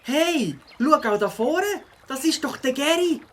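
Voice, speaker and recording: Woman voicing an eager, high-pitched boy. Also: animated, measured pace. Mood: excited. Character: cheerful, eager young boy cyclist.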